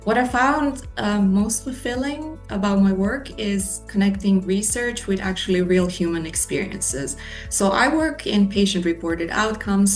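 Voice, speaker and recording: A woman in her thirties from Croatia with a Croatian accent, an assistant professor earnestly explaining her research in a Q&A, with faint music behind. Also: fairly low pitch. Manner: earnest, explanatory, lists points. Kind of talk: scripted Q&A monologue.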